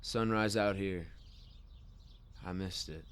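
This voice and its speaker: Radio play lead: rueful rodeo rider, injured and guarded, low smooth voice, halting delivery. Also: male voice. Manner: halting, plain-spoken. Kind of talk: naturalistic radio drama acting.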